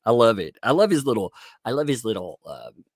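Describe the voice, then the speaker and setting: A man with a deep voice streaming casual commentary from home, profane and sarcastic, laughing often and thanking his chat. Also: very animated, a little fast, no room sound, studio quality.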